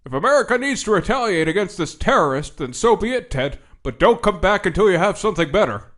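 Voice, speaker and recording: Highish male voice, very animated pitch, actor as dithering president, hesitant, folksy, soft-hearted, comic audio drama. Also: fast. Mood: doubtful. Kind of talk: comedic audio drama acting.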